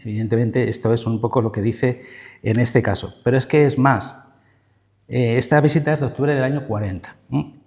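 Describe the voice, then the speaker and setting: Mellow-voiced Spanish man lecturing. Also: medium pitch, fairly even intonation, moderate pace. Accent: Spain Spanish. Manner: discursive, frequent checking tags and asides. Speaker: history professor, lecturer. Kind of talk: public history lecture, semi-improvised.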